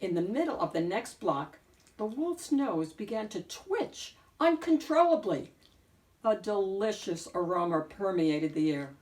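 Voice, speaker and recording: A woman narrating a children's picture book in an expressive read-aloud style, with deliberate, dramatic emphasis. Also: mid-range voice, far from the mic. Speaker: storyteller, picture book reader.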